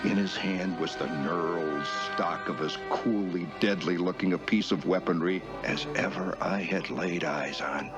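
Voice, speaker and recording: A man's voice: sits low, unhurried, few pauses. An actor playing a grown man wryly recalling boyhood longing, narrating a nostalgic comic film in a grandiose, savoring way. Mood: mock-epic longing. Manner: theatrical, mock-epic, savoring phrases. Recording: film soundtrack with loud music behind. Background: loud film music.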